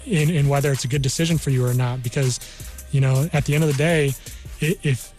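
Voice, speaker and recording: A man's voice: medium pitch, steady tone, a little fast, a little mellow, soft background music. A man working as an army career counselor, explaining things conversationally as a radio guest and often saying "you know.". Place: radio studio.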